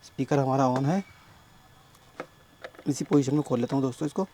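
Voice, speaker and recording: A man with a sore, hoarse throat explaining a speaker repair step by step at his workbench, often addressing viewers as friends. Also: mid-range voice, dark tone, slight background noise. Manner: explains slowly, keeps calling viewers friends. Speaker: electronics repair technician.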